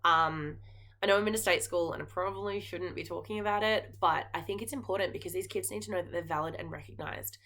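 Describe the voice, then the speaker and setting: An Australian woman talks to camera in a relaxed, earnest way, her speech full of ums and likes. Her voice sits fairly low, with a bright, forceful edge. She sounds like a teacher sharing what she cares about. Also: quick pace. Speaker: knitting podcast host and geography teacher. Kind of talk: casual chat to camera.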